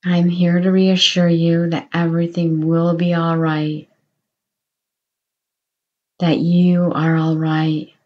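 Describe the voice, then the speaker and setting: A woman guiding a meditation in a slow, soothing voice, offering gentle reassurance. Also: fairly low pitch, flat delivery, muffled recording. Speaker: meditation guide. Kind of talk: guided meditation.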